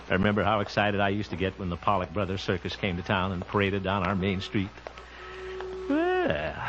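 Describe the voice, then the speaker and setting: A man's voice: low-pitched, background noise. A man playing a jovial, folksy small-town radio homemaker in old-time radio comedy style, chuckling and wisecracking. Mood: musing, amused. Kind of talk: 1940s-50s radio sitcom acting. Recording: muffled old radio recording, music behind.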